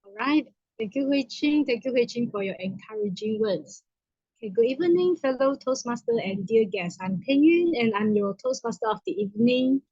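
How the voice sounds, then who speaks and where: A woman's voice: on the high side, expressive, normal speed, dark tone, slightly rough, no room sound. A woman hosting a club meeting, explaining its program in a formal, explanatory way. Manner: formal, explanatory, some restarts. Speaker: meeting host, toastmaster of the evening. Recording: dull lo-fi dry sound.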